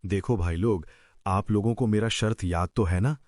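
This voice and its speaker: A man's voice: lowish voice, normal speed, darkish tone, dry recording, clean studio sound, lightly acted. A man voicing a greedy, cunning village moneylender in a cartoon story, with a smug and sly way of talking. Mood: gloating. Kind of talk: Hindi cartoon story voice acting.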